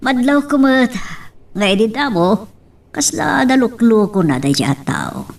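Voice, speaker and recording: A woman's voice: on the high side, big pitch swings, a little slow, vocal fry, far from the mic, heavily acted. A woman with an Ilocano accent playing an inquisitive mother of a grown son in an audio drama. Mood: curious. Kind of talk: Ilocano radio-style love drama acting.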